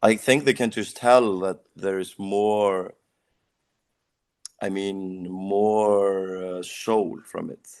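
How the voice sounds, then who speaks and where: A young Icelandic-accented man with a low, gravelly voice, talking casually about his band. English is his second language, so he pauses to hunt for words and fills the gaps with "like.". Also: steady tone, darkish tone. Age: young adult. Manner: searches for English words, leans on "like". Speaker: band singer. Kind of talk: casual interview answers.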